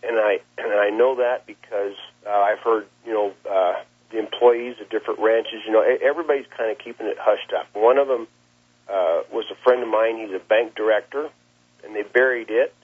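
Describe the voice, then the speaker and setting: A plain-spoken rancher, a man, talks in a rough, husky voice. He gives his account conversationally, stopping and repeating words as he goes. The sound is narrow and muffled, like a lo-fi phone call. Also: lowish voice, fairly even intonation, normal speed. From Nebraska, United States. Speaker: cattle rancher being interviewed. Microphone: telephone. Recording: muffled, lo-fi phone interview line.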